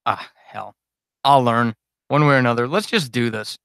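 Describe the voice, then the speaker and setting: A man's voice: mid-range voice, moderate pace, a bit bright, clean tone, dry recording, studio quality. A man chatting casually and unscripted to his supporters on a livestream, rambling, informal and self-deprecating as a podcast host.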